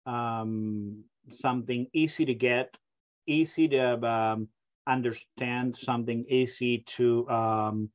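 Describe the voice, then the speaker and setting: A middle-aged man from Argentina with an Argentine Spanish accent, a software company founder who talks in a hesitant way with many fillers in a remote interview. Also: mid-range voice, highs cut off. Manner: hesitant, many ums and uhs.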